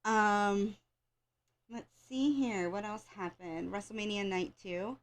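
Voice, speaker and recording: A woman's voice: medium pitch, steady tone, slow, crowd noise. An American woman hosting a wrestling show in casual, rambling chat, with a slightly congested, scratchy voice and an informal, opinionated fan's way of talking. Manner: opinionated, informal fan talk with repeated phrases.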